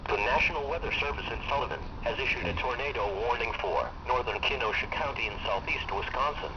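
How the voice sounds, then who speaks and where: A man's voice: medium pitch, lively intonation, fast talker. A man reading a scripted emergency weather alert as a formal, impersonal radio announcer. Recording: muffled, very noisy radio broadcast re-recorded in a room.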